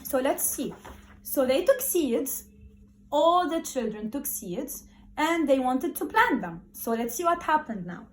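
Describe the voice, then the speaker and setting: A woman primary school teacher speaking to young children in an encouraging, expressive way, repeating words for emphasis as she talks and reads aloud. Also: high voice, a little slow, a little hiss or hum, rough recording.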